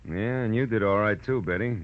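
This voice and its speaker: An actor playing a tough, self-confident American private detective in old-time radio style, clipped and wisecracking. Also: male voice, on the low side, a little fast, close to an everyday voice. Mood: explaining, relaxed. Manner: hard-boiled, clipped wisecracking. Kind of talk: 1940s-50s American radio detective acting and narration. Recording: muffled, noisy old-time radio recording.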